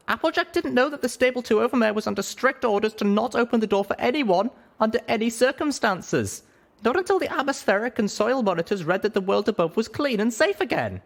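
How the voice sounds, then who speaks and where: Give this storybook heroine, acted out by a man, a small, high falsetto that swoops with feeling. She is a young pony mare, tender and earnest, pleading her case. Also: big pitch swings, a little fast, full character voice. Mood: insistent, pleading.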